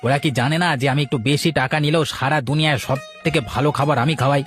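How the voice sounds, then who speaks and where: A man's voice: a little high, fairly even intonation, racing, a bit bright, faint music behind, close to an everyday voice. A man voicing a proud, short-tempered village food-stall owner in a cartoon, brusque and lecturing. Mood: stubborn, defensive. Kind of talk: Bengali moral cartoon voice acting.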